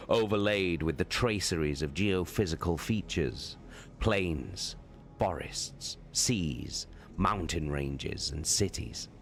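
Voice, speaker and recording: A man narrates in a deep voice at a slow, deliberate pace. The reading is solemn and dramatic, like an audiobook, with faint music underneath. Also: creaky. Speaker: audiobook-style reader, streamer.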